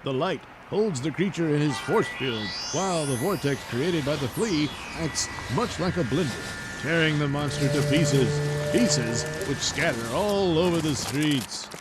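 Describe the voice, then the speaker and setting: A man's voice: a little high, animated, a little slow, over loud music. A man narrating a comic audio serial in a dramatic storytelling style.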